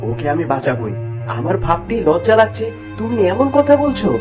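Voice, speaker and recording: A man's voice: sits high, big pitch swings, fairly quick, music in the background. A man voicing a noble, caring young prince in a cartoon fairy tale, earnest and declamatory. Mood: indignant.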